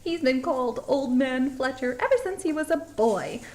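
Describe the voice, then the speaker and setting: A woman's voice: high-pitched, lively intonation, moderate pace, a little mellow. A woman playing a folksy small-town documentary host, gushing politely in tour-guide patter, as in a comedy mockumentary. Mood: gushing, informative. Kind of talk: scripted mockumentary narration and interviews.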